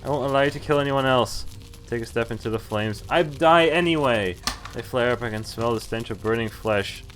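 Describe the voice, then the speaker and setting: A man's voice: mid-range voice, brisk, fairly bright tone, slight background noise. A man streaming a game, reading visual novel text aloud and commenting in a casual, sweary way. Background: faint game music.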